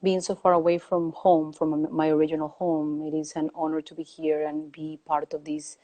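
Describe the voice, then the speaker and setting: A woman from Peru speaks in a low, warm voice that stays fairly flat in pitch. She talks slowly and reflectively, pausing often to find her words, over a video call. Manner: slow, thoughtful, with many hesitations. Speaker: guest. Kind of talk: reflective conversation. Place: home, calling in remotely.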